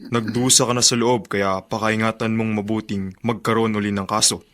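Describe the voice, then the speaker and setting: Low-voiced, fatherly prison warden played by an actor. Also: little pitch movement, fairly bright tone, lightly acted. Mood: fatherly, cautioning. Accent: Filipino. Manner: measured, advising. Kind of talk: Filipino radio drama acting.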